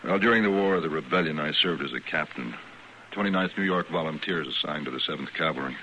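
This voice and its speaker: An actor playing a proud, educated former army captain in a radio western, formal and precise. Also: male voice, a bass voice, fast, bright, forceful tone, full character voice. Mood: resolute. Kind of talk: old-time radio western acting. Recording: dull, hissy old radio recording.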